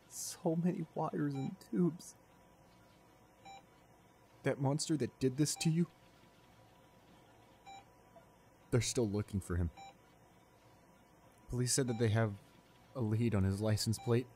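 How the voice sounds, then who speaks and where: A man performs a guilt-stricken young boyfriend in an intimate audio drama. He speaks slowly at a medium pitch, tender and distraught, breaking his phrases with hesitations and sighs. There is clear noise behind the voice. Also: mellow, lightly acted. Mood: bitter, protective. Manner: halting, broken phrases, sighing. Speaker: voice actor in an audio roleplay.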